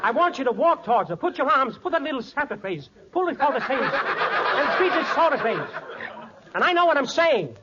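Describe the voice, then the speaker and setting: A man's voice: extremely high pitch, big pitch swings, very noisy. A man playing a fussy film director who slips earnest gibberish into his sentences, in 1940s radio comedy. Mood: indignant. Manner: rapid double-talk, nonsense slipped into sentences. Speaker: comic supporting actor. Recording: muffled 1940s radio recording, studio audience.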